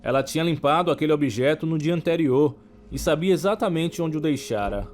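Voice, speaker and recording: A man with a Brazilian accent narrating a scripted horror story in a measured, dramatic way. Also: a little high, expressive.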